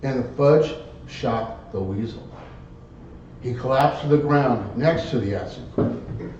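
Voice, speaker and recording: A man telling a tall tale live as a contest speech, theatrically, in short halting phrases. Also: darkish tone. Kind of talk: live dramatic storytelling speech. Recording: very echoey room, distant muffled mic, rumble.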